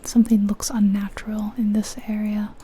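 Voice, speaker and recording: Softly whispering woman, very mellow. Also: medium pitch, completely flat delivery, slight background noise. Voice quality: soft, breathy whisper. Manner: hushed, unhurried, musing aloud. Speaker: ASMR video host. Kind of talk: whispered ASMR gameplay commentary.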